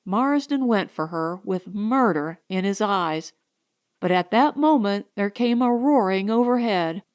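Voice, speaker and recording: A woman's voice: on the high side, darkish tone, no echo, clean studio sound. A woman reading scripted story narration as an audiobook narrator.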